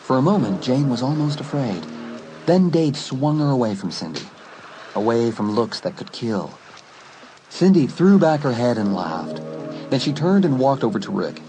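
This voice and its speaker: A man's voice: medium pitch, very animated, moderate pace, poor audio. A man reading scripted fiction as a dramatic audiobook narrator. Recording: music under the voice. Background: music.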